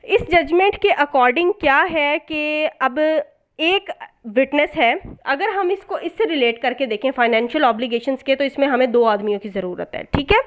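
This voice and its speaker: A woman's voice: sits high, moderate pace. A woman with a Pakistani accent giving an explanatory law lecture, didactic and often checking that listeners follow. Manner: didactic, repeatedly checking understanding. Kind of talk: explanatory exam lecture mixing in English legal terms.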